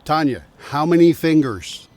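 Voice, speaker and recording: A man playing a calm, reassuring hospital doctor in an audio drama. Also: fairly high pitch, measured pace. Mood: concerned, checking.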